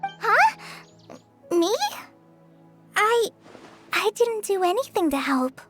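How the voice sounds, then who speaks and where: A woman voicing a kind young female traveler in a game, gentle and reassuring. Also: very high voice, lively intonation, slow, faint music behind, full character voice. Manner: gentle, reassuring, flustered when caught. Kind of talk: game voice acting.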